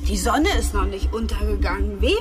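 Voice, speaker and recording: An actress playing a jealous, wounded drinker in a German radio drama, needling a partner with bitter sarcasm. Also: female voice, medium pitch, brisk, over music, close to an everyday voice. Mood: bitter, sarcastic.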